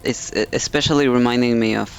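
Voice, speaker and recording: A man streaming a game, giving casual, halting, musing commentary. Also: mid-range voice, fairly even intonation, vocal fry. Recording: faint game music behind.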